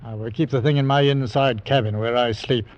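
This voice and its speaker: A gruff, wary sea captain who speaks in clipped, no-nonsense phrases, voiced by a man in a vintage radio play. His voice has a middle pitch. The old recording dulls the sound. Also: steady tone, fairly quick, lo-fi sound, lightly acted. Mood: brusque. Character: gruff male ship captain, cautious. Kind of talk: 1940s radio acting.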